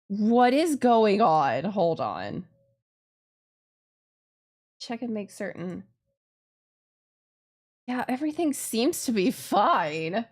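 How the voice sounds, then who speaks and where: A woman's voice: medium pitch, big pitch swings, very slow, long pauses, darkish tone. A woman streaming a game with casual, exclamatory live commentary, often repeating her phrases. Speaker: streamer playing a visual novel. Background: game music.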